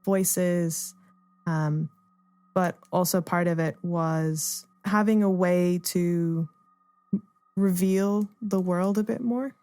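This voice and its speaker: A woman's voice: lowish voice, steady tone, takes it slowly, darkish tone, no echo. A woman speaking thoughtfully and tentatively as an artist explaining their work in a reflective interview. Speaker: artist and playwright being interviewed.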